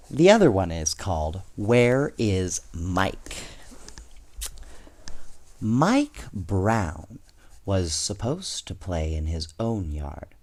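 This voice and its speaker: A man reading children's storybooks aloud in an expressive, measured way, with casual asides to his young listeners. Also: fairly low pitch. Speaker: bedtime story reader, host.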